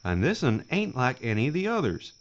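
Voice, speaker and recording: A man's voice: mid-range voice, huge pitch swings, brisk, slight background noise. Man doing a jittery sidekick, voice jumping up and down, kinda muffled. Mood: worried. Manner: worried, pleading. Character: nervous male bounty hunter sidekick Eustace. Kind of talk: audio drama acting.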